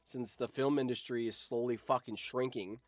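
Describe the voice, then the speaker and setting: A man's voice: medium pitch, steady tone, moderate pace, dry recording. Casual man streamer with a close, dry sound. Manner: rambling, freely swearing. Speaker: streamer and video editor chatting with viewers. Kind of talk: casual livestream chat.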